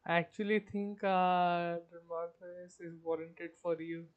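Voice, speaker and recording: A woman's voice: lowish voice, steady tone, a little slow, dull audio. A woman reacting casually to a game as she live streams it.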